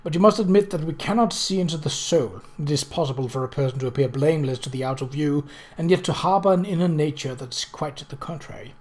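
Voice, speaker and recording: A man's voice: fairly high pitch, fast talker, darkish tone, lightly acted. A village vicar in a storybook mystery , voiced by a male reader, murmurs soothing counsel. He is formal and moralising. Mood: soothing, pious. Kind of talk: novel reading.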